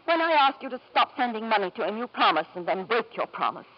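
A woman's voice: a little high, sing-song, fast talker, dull audio. An actress playing a bitter, weary wife and anxious mother in a 1950s radio drama, formal and emotional, on an old broadcast recording. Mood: anxious, resolute.

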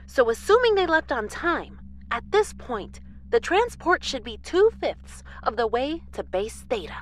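A woman's voice: high voice, sing-song, measured pace. A woman playing an earnest, flustered moonbase coordinator in an audio drama, precise and a little hesitant. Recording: faint background noise and ambience.

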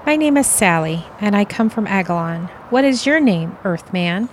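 A woman's voice: medium pitch, expressive, moderate pace, a little hiss or hum, lightly acted. A woman playing an earnest, serene alien envoy in an audio play, with stilted, formal phrasing.

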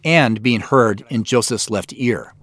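A man's voice: mid-range voice, measured pace, a bit bright. A man giving explanatory director's commentary as the creator of a show.

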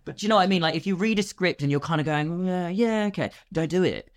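A man's voice: high voice, expressive, fast, no room sound, studio quality. A British man talking casually as a podcast guest, chatty and self-deprecating about himself. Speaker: guest, actor and filmmaker.

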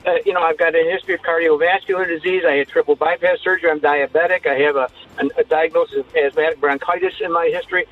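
A man in his seventies calling a radio show, earnest and slightly halting, giving his opinion over the phone. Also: on the high side, fairly even intonation. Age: 70s. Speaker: caller. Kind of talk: call-in opinion. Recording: tinny phone line with faint noise.

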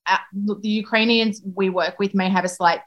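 A woman foreign correspondent answering interview questions in a thoughtful way, with frequent pauses and restarts. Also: medium pitch, steady tone, muffled recording.

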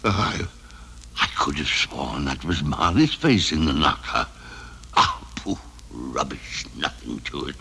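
A man's voice: very deep voice, animated, slow pace, gravelly, noisy background, full character voice. An older man playing a miserly, grumpy old employer in an old-time radio drama, grumbling and scornful. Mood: uneasy muttering. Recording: old radio broadcast with music.

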